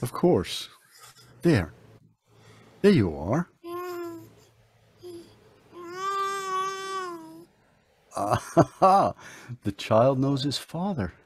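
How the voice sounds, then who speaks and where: An actor playing a calm, kindly, mysterious doctor in an old-time radio drama, speaking gently and reassuringly. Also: male voice, mid-range voice, extremely slow, breathy edge, no room sound, full character voice.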